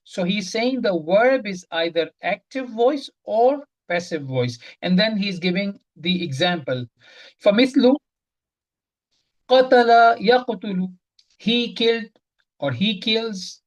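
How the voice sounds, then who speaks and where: A woman's voice: mid-range voice, normal speed, rough recording. A woman teaching an online Arabic grammar lesson, explaining each point step by step, repeating key terms and quizzing her students. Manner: quizzes the class directly.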